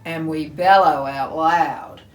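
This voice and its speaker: A woman's voice: sits low, fairly even intonation, slow, recorded from a distance. A woman voicing a grumpy, proud walrus from a picture book, pompous and bellowing. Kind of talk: picture book read-aloud in verse. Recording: roomy echo, faint music behind.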